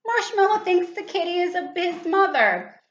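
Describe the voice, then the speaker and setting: A woman's voice: extremely high pitch, very animated, normal speed. A woman reading a children's storybook aloud, expressively, with little asides and questions to young listeners. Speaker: teacher reading a picture book aloud. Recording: echoey room, slightly dull sound.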